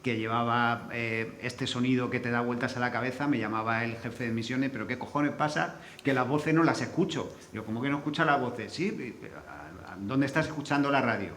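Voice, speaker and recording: A man's voice: mid-range voice, a little fast, few pauses, a bit bright. A man with a Castilian accent from Spain, talking informally on a panel as a sound designer, colloquial and loose with swearing. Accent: Spanish from Spain. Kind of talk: informal panel talk with anecdotes. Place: indoor forum venue. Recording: echoey room, faint background noise.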